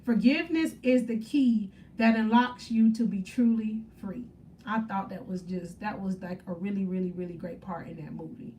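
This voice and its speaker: A woman's voice: mid-range voice, normal speed, dark tone, distant mic, a little hiss or hum, rough recording. A woman, chatting on a faith-centered livestream, upbeat and preachy as she answers viewer comments. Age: 30s. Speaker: faith motivational livestream host. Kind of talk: casual live stream chat with viewers.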